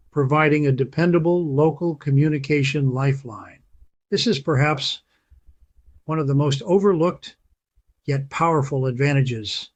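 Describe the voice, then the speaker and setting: A man narrates a scripted voice-over with a slightly raised pitch. His delivery is emphatic and persuasive, stretching out key phrases for weight. The sound is dry and close, with no room around it. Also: dry recording. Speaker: channel host.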